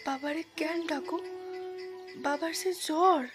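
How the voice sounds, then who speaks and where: A timid little village girl with a Bengali accent. The voice is very high and slow, in an audio drama with music behind it. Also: fairly even intonation. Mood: anxious. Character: ten-year-old village girl, timid daughter of a peasant. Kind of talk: audio story drama acting.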